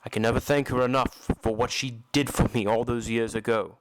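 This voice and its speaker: A man playing a tormented, embittered masked opera recluse in an audio drama, telling his story in a slow, solemn, dramatic way. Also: mid-range voice, steady tone, no room sound, lightly acted, rough recording. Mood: solemn, reminiscing. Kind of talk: amateur audio drama acting.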